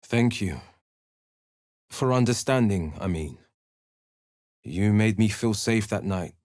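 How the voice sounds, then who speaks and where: A quiet, grateful game companion. The delivery is slow, low and earnest. It is recorded close and dry, with no room sound. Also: male voice, lively intonation, no echo. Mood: sincere. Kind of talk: video game voice lines.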